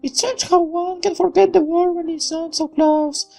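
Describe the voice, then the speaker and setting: Man putting on a very high, gentle young woman. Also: level tone, moderate pace, gravelly, soft background music. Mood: caring, composed. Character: polite, caring young woman, a colonel's daughter.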